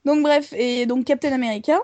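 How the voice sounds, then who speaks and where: A woman's voice: high voice, fairly even intonation, normal speed, a little mellow, dry recording. A woman co-hosting a casual group podcast, rambling with many fillers. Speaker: podcast co-host.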